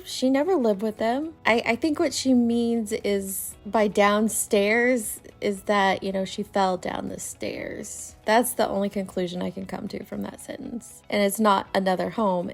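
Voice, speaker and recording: Woman with gravelly fry, blunt commentary. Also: mid-range voice. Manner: blunt, corrective. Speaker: commentator reacting to another creator's video. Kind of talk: casual reaction commentary.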